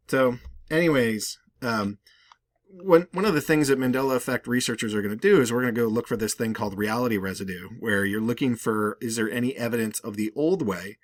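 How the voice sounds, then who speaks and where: A man's voice: fairly low pitch, no echo, studio quality. A man hosting a video with casual, rambling and emphatic conversational commentary. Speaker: video host.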